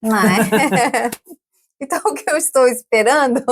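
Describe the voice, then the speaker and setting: Chatty woman in her forties, slightly high. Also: unhurried, slightly rough. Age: 40s. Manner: chatty, laughs often, many tag questions. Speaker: podcast guest. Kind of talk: casual interview chat.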